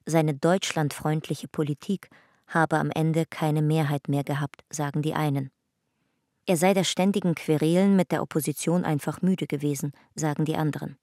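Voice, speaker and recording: A woman narrating a radio drama in a calm, measured reading. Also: sits low, dry recording, studio quality.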